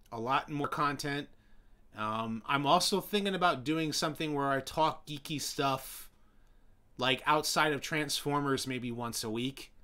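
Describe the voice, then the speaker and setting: A young man hosting a casual live stream, rambling with many fillers and restarts as he answers viewers, his voice getting hoarse. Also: mid-range voice, bright, forceful tone. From New York City.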